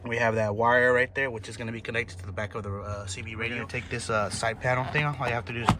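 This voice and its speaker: A man giving a casual, halting hands-on tutorial to viewers, thinking aloud as he works. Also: mid-range voice, steady tone. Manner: informal, halting, thinking aloud while working. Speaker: host of a DIY car installation video. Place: in or beside a car. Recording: clear background noise, vehicle ambience.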